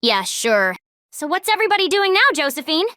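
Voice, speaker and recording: Very high woman playing a chatty girl. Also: big pitch swings, fairly quick, bright, forceful tone, no room sound, clean studio sound, exaggerated voice. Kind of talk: comic dub voice acting.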